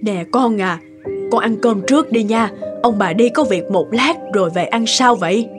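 A woman's voice: a little high, over music, lightly acted. Woman acting hesitant, kindly elderly cartoon grandmother. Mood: gentle, busy. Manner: halting, evasive, with stretched hesitations.

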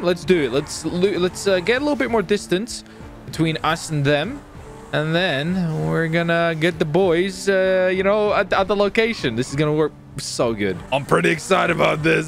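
Man, slightly high voice, casual gameplay host, chatty, excited, repetitive phrasing, light music behind. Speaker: gaming video host and player.

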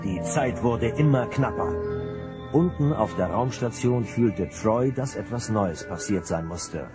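A man's voice: fairly low pitch, expressive, unhurried, loud music behind the voice. A man narrating a science fiction audio drama in a scripted, dramatic storytelling style.

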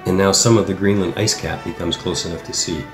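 Deep guy doing sailing vlog voiceover, kinda echoey room, explains stuff steadily. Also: steady tone, brisk. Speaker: sailor narrating travel vlog. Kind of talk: scripted voice-over narration. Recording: roomy echo, music underneath. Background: background music.

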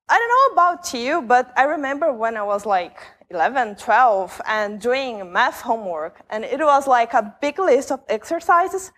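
A young woman from Brazil with a Brazilian Portuguese accent giving a conference talk in a large lecture hall, speaking conversationally with many fillers and restarts. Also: a little high. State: jet-lagged. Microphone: stage mic, camera from far back.

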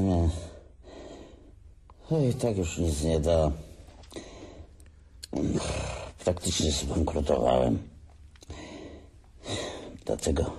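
An actor playing a drunk grown man arguing with his brother, swinging from bravado to quiet regret. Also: rumbling low, husky, exaggerated voice. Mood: regretful. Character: drunk adult brother Adam, boastful then broken. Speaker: radio drama actor. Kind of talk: radio play acting.

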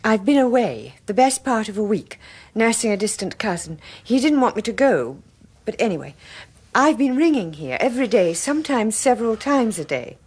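An actress playing a guarded, self-reproaching wife in a radio drama, hesitant and reflective. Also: female voice, fairly high pitch, sing-song, moderate pace, darkish tone, husky. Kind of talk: 1980s radio drama acting.

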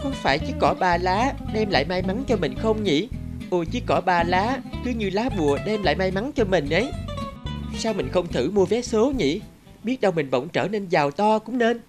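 A woman voicing a superstitious young schoolboy in a cartoon, plaintive and expressive. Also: medium pitch, natural voice. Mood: excited. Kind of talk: scripted cartoon dialogue. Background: background music.

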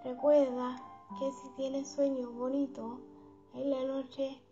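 A woman hosting a guided meditation for sleep, speaking in a soothing, unhurried, affectionate manner. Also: high-pitched, level tone. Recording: dull lo-fi sound under background music.

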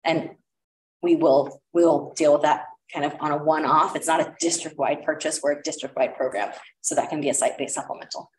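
A woman's voice: deep voice, fairly even intonation, brisk, a little mellow, a little hiss or hum. A woman presenting a curriculum recommendation at a school board meeting, talking casually with many fillers. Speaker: district administrator presenting curriculum. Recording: echoey room, voice far from mic.

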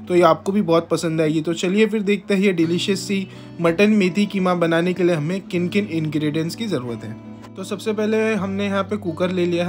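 A young man hosting a home cooking channel, explaining a recipe step by step in a chatty, friendly way with frequent fillers. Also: on the high side. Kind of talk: voice-over recipe narration. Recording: soft guitar music behind.

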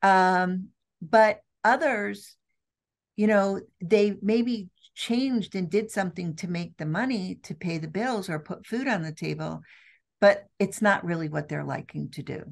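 A woman's voice: medium pitch, gravelly. A middle-aged woman speaking earnestly as a guest on a video call interview from an office, with frequent restarts. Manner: earnest, slow and deliberate with many restarts. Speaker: trade show CEO, guest. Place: office with windows. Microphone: video call webcam.

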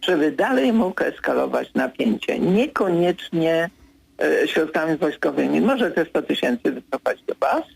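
An older man with a deeply gravelly, husky voice talks slowly and deliberately in a radio studio. He pauses often to gather his thoughts, with fillers between phrases. When he pushes back on a point, he becomes firm and insistent. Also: very animated, poor audio. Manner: measured, hesitant with many fillers, grows insistent when correcting. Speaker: political commentator.

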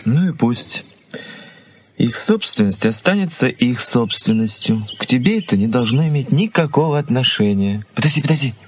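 An actor playing an earnest, skeptical young fiancé in a Soviet radio play, speaking in a measured, dry way. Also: male voice, medium pitch, animated, slight background noise, close to an everyday voice. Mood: firm, disapproving.

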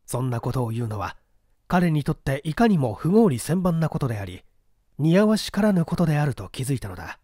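Lively man reading a mystery novel aloud. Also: a little high, a little fast, dry recording, clean studio sound. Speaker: audiobook narrator.

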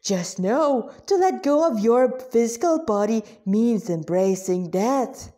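A woman voicing a solemn, zealous male elephant-god guard in a fantasy story, preaching in a slow, drawn-out way. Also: fairly high pitch, animated, warm tone. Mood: fervent preaching.

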